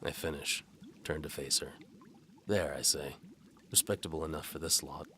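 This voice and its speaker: A man's voice: rumbling low, steady tone, normal speed, bright, forceful tone, slight background noise. A man playing a sardonic, world-weary bow for hire, narrating his own story in noir audio drama style. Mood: dry, wry.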